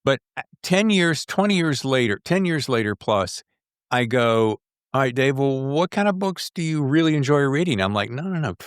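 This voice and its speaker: A man hosting a casual interview, talking in an excitable, jokey, digressive way as a fellow writer. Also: mid-range voice, normal speed, clear, resonant tone, no echo, studio quality. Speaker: podcast host and writer.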